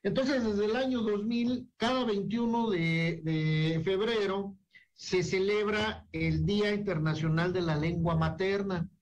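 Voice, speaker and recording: A man hosting a radio interview, speaking in a measured, reflective way with some repeated words. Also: high-pitched, steady tone. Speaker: radio talk show host.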